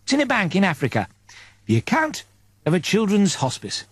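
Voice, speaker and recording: A man's voice: high-pitched, huge pitch swings, a little fast, husky, no room sound, pitched above the performer's own voice, exaggerated voice. A man voicing a serene, knowing female angel in an audiobook, coaxing with patient, assured phrases. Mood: earnest, assured.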